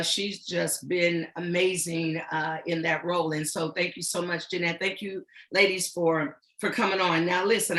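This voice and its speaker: A woman in her sixties hosts an online panel with a booming, encouraging delivery. Her tone is bright and forward. She sounds like she is in an echoey room over a video call. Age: 60s. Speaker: host. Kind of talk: hosting an online panel chat.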